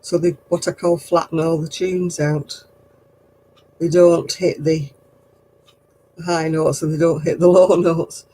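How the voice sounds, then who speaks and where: An older woman chatting casually on her craft livestream, answering viewers in a low, husky voice and a rambling, gossipy way. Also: little pitch movement, takes it slowly, long pauses, mellow, a little hiss or hum, low-quality recording. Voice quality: low, husky voice after throat surgery.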